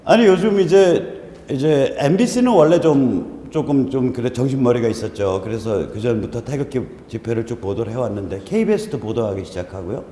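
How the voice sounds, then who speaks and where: A man's voice: mid-range voice, expressive, fairly quick, mellow. A man in his fifties hosting a casual talk show, joking and self-deprecating, with a congested, strained voice. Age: 50s. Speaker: host. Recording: roomy hall echo, faint noise.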